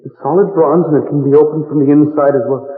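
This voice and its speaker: A man's voice: a little high, steady tone, quick pace, narrow, tinny audio, lightly acted. A man playing an earnest, frightened young man in an old radio drama, urgent and pleading. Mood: fearful. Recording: echoey thin old radio recording, music.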